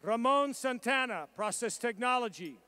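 A man with a strikingly high voice calls out graduates one by one at a college commencement. His reading is measured and formal, a steady roll call of names and programs, each pronounced with care. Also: clear, resonant tone. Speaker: commencement name reader.

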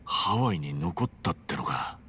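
An actor voicing an earnest, friendly man of about forty with a blunt, casual, rough-edged way of speaking in a video game cutscene. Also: sits low, animated, normal speed, sharp tone, slight background noise. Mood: serious.

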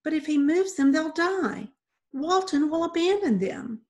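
A woman voicing an earnest young girl who pleads tearfully and rushes on, as in a storybook reading. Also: high voice, expressive, a little mellow, pitched above the performer's own voice.

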